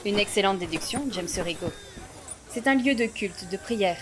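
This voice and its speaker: A woman playing a proud, solemn female chief of a primitive village clan in a fantasy audio drama, speaking in formal, measured phrases. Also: medium pitch, lively intonation, close to an everyday voice. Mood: reverent, firm. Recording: voice under clear background music.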